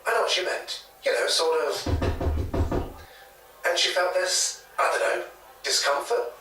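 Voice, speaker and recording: A man with a British accent playing a hesitant, gossipy local retelling a ghost story in a halting, chatty way for an audio drama. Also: a little high, expressive, bright, forceful tone, far from the mic, soft background music. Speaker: interviewee, former hotel worker. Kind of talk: naturalistic audio drama interview. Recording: echoey taped interview, off mic.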